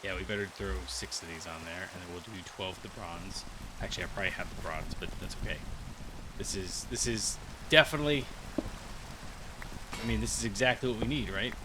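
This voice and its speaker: A man's voice: low voice, brisk, background noise. Rambling man narrating gameplay. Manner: rambling, thinks aloud with many restarts. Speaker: gaming video creator. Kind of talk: casual gameplay commentary. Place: cold room with a heater.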